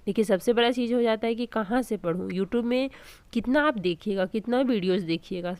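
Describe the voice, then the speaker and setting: A young woman vlogger with a sore, hoarse throat, giving an earnest, encouraging motivational talk about studying for exams, with frequent restarts. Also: a little high, a little fast, darkish tone, dry recording. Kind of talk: casual motivational talk to camera.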